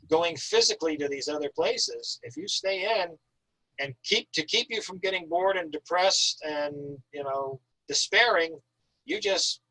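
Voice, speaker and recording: A man with a somewhat high voice talks in a casual, enthusiastic style. He is effusive and wordy, stressing his points like an author keen to share his work. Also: bright, forceful tone, dry recording, low-quality recording. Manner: effusive, talkative, emphatic. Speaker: author, guest at an online reading. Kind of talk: casual enthusiastic chat about writing.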